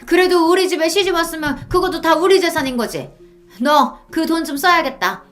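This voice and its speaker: A woman's voice: very high voice, brisk, a bit bright, faint music behind, pitched above the performer's own voice. A woman voicing a domineering, shameless older mother-in-law who harangues and demands, in a dramatized story reading. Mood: demanding.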